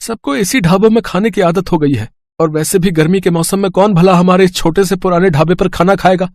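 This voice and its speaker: A man's voice: high-pitched, fairly even intonation, a little fast, dry recording. Man acting a worried, poor eatery owner. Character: poor, worried, kind-hearted male roadside eatery owner. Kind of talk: dramatised moral story acting.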